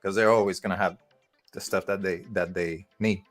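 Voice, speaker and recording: Low-voiced rambling man from Puerto Rico. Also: fairly even intonation, moderate pace, faint music behind. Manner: rambling, many fillers and restarts. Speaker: podcast guest. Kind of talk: casual storytelling.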